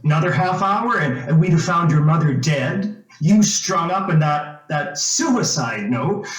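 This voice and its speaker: A man playing a folksy, blunt lawman with halting delivery in an old-time radio suspense play. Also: fairly high pitch, lively intonation. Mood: grave. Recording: echoey room with faint music.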